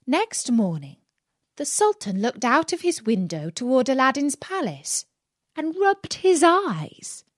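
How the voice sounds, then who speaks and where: A woman narrating a fairy tale as an audiobook reader, deliberate and carefully phrased. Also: on the high side, dry recording, clean studio sound.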